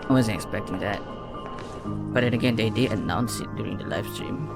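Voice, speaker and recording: A man's voice: lowish voice, brisk, gravelly. A man giving casual reaction commentary on game trailers, rambling and repeating words for emphasis. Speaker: reaction video host. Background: music from the trailer.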